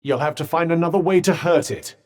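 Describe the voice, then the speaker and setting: A man's voice: fairly high pitch, brisk, a little mellow, lightly acted. A man voicing a wry sounding magic bracelet. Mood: sardonic. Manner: wry, sarcastic, clipped formal phrasing. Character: sardonic talking magic bracelet, male, dry-witted. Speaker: game character voice actor. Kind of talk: video game voice lines.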